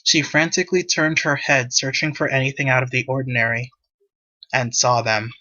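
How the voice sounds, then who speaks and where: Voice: mid-range voice, fairly even intonation, normal speed, bright, forceful tone, dull audio. A narrator reading scripted visual novel prose. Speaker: narrator and reader of all parts. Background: background music.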